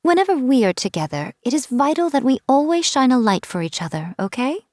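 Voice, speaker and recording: A woman's voice: high-pitched, sing-song, fairly quick, a little mellow, dry recording, studio quality. A woman voicing a playful, teasing fox spirit in a game, coy and amused as she talks. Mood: sly. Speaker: game character. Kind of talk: game voice lines. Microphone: studio mic, dry.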